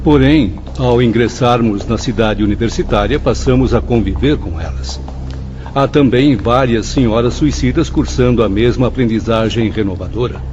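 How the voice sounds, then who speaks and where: A man's voice: mid-range voice, moderate pace, background noise, close to an everyday voice. An actor playing a thoughtful, courteous male professor spirit in formal radio drama dialogue. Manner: formal, reflective. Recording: dull audio with insect-like ambience.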